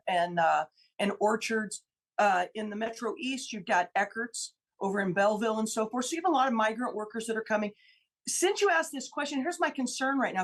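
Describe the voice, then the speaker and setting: Female lawmaker guest, from Illinois, on talk radio: forceful, repeats her figures, voice sits a little high. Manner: emphatic, repeats key figures for stress. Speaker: state senator guest. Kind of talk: radio interview, political argument.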